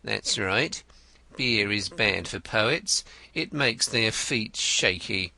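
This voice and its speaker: A man's voice: on the low side, lively intonation, slow, vocal fry, sharp tone, exaggerated voice, poor audio. A man voicing a shrewd, wry male detective in an audiobook, with dry, teasing questions.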